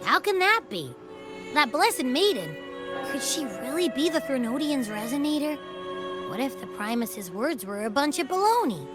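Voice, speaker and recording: Game companion, earnest young heroine. High, expressive, inquisitive delivery with music clearly behind. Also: female voice, normal speed. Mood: confused, doubtful. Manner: expressive, questioning. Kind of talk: scripted game voice acting, expressive.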